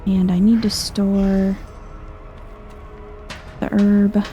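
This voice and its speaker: A soft-spoken woman giving chill, low-key ASMR gameplay commentary, murmuring to herself and sometimes slipping toward a whisper. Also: flat delivery, takes it slowly, faint music behind. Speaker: gaming video host.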